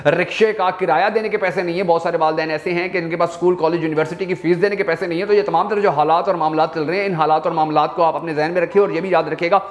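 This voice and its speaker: A man's voice: on the high side, fairly even intonation, rapid-fire, hardly any pauses. A man with a Pakistani accent presenting news commentary to viewers, speaking emphatically and piling up repeated phrases for rhetorical effect. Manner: emphatic, repetitive rhetorical build-up. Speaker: YouTube news channel host.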